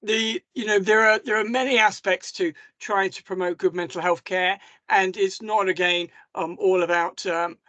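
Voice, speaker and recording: A man talks over a video call, a little croaky from a cough. He presents slides for a webinar with many hesitations and restarts, in a rather high voice. The sound is dry. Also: steady tone, normal speed, hardly any pauses, pushed and bright, no room sound. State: hoarse from a cough. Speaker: mental health service manager.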